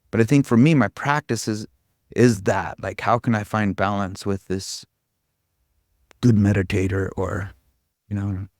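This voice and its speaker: Low-voiced, casual male meditation teacher. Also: creaky, darkish tone, no echo, studio quality. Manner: irreverent, casual, frequent swearing; rambling, self-deprecating, jokey. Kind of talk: informal spiritual talk to a group.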